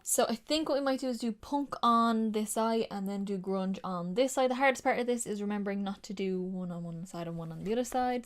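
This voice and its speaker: A woman talking casually through a makeup tutorial. She is slightly nasal from a sinus infection and rambles with frequent ums and likes. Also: medium pitch. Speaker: beauty vlogger.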